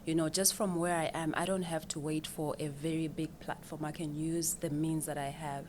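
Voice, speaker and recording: A woman's voice: deep voice, level tone, moderate pace, darkish tone, a little hiss or hum. A woman from Zimbabwe, a financial planner answering interview questions in an explanatory way, often repeating words as she thinks. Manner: explanatory, with frequent repeated words and small laughs.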